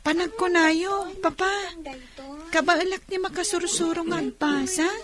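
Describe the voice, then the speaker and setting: A woman's voice: extremely high pitch, fairly even intonation, unhurried, a crowd in the background. A woman playing an eager, anxious young blind girl, a student and daughter, in an audio drama. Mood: earnest, hesitant. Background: music.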